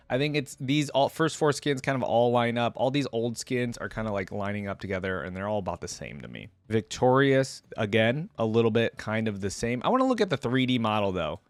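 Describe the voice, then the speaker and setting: A man's voice: mid-range voice, no room sound. A man giving casual, informal reaction commentary with gamer slang, hosting for viewers. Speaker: host reacting to game content.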